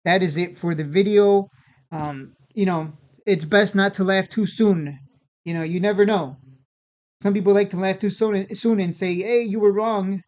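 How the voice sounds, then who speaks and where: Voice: medium pitch, moderate pace, a little mellow. Casual stock commentator, slightly dull sound. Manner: informal, repeats and restarts phrases. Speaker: host of a stock-trading video channel. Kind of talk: casual unscripted market commentary.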